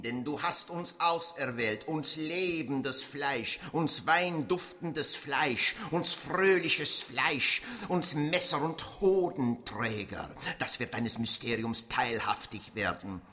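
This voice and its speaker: Slow, fervent cult priest. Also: male voice, a little high, animated, sharp tone, full character voice. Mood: reverent, exalted. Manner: reverent, declamatory. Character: fervent male priest of grotesque meat cult, solemn. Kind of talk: liturgical prayer in a radio play.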